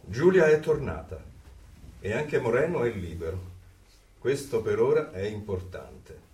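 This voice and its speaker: A man's voice: lowish voice, very animated, unhurried, darkish tone. An actor playing a resolute male dissident, giving an earnest, reflective inner monologue in a radio drama. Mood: earnest resolve. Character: hopeful, resolute male dissident narrating his inner thoughts. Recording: roomy, distant, faint ambient noise.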